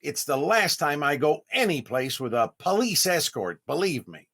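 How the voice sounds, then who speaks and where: An actor playing a vain, eager, easily flustered comedian in 1930s radio comedy style, with drawn-out exclamations and stammering. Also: male voice, a little high, big pitch swings, a bit bright, breathy edge, full character voice. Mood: needy, indignant.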